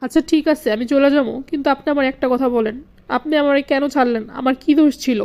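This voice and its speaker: A woman's voice: sits high, fast talker, a little mellow. A woman playing a meek, devoted young housewife in a cartoon drama, submissive and apologetic in manner. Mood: tearful pleading.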